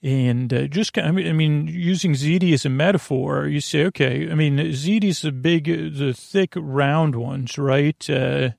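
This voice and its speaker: A man hosting a bedtime podcast in a gravelly voice, rambling and halting as he wanders off into tangents. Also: fairly high pitch, darkish tone, no echo, clean studio sound. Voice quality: gravelly, creaky. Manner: meandering, trails off into tangents; halting, stumbling delivery. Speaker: podcast host.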